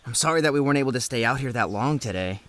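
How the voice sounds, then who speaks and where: A man's voice: medium pitch, lively intonation, very fast, fairly bright tone, lightly acted. A man playing an earnest, devoted young student in an audio drama. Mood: sincere, easygoing. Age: young adult.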